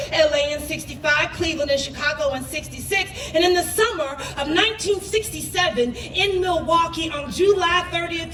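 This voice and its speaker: A woman performing spoken-word poetry live, speaking emphatically and deliberately, with repeated phrases. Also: high-pitched. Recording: echoey, noisy live venue, distant mic.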